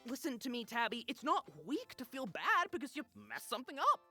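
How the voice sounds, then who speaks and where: A woman's voice: sits high, very animated, brisk, bright, forceful tone, faint music behind, full character voice. A woman playing a small male magical companion in a visual novel, an anxious, earnest conscience who pleads and hesitates. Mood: earnest, gentle. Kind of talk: voiced visual novel acting.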